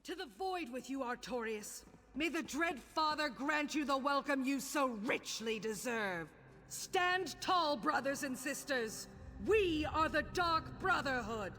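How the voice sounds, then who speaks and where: A woman's voice: high voice, lively intonation, a little slow, bright, forceful tone, slight background noise, heavily acted. An actress playing a fierce, proud commander of assassins in a fantasy video game, declaiming her lines with authority. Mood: fierce triumph.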